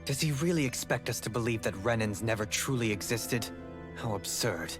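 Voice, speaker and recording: A man's voice: lowish voice, normal speed, lightly acted. An actor voicing a stern, skeptical young male warrior in a game. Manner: curt, skeptical. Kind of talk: video game voice acting. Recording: game audio with faint music.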